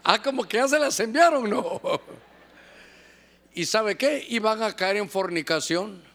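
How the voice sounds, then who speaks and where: High-voiced male preacher, lively and joking, acts out little scenes. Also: unhurried, vocal fry. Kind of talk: sermon.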